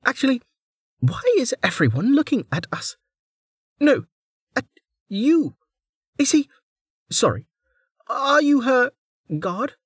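Narrator putting on a bubbly high young woman. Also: sing-song, takes it slowly, long pauses, husky, dry recording, clean studio sound, full character voice. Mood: puzzled. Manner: bubbly, apologetic, eager. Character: cheerful chatty young woman newcomer.

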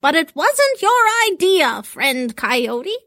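A woman voicing an old female fortune teller who speaks in a slow, teasing, knowing way. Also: high-pitched, big pitch swings, a bit bright, dry recording, studio quality, pitched above the performer's own voice, heavily acted. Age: older.

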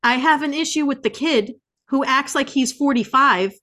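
A woman's voice: high voice, normal speed, bright, forceful tone. A woman co-hosting a podcast, giving opinions in casual banter, emphatic and repeating points for effect. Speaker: podcast co-host.

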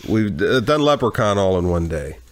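A man's voice: on the low side, very animated, a little fast. A man hosting a movie marathon podcast, a little congested from a cold, with wry joking remarks. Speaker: podcast host. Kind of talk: casual chat.

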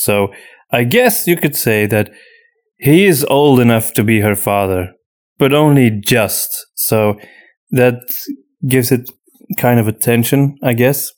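Man, mid-pitched voice, podcast host, casual discussion, reflective, measured, pausing to think.

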